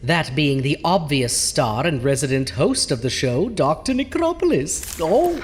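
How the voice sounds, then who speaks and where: A woman's voice: low-pitched, very animated, measured pace, big-room sound, slight background noise. A woman playing a vain, pompous ghoulish horror host in a campy audio show, with florid theatrical delivery. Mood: smug.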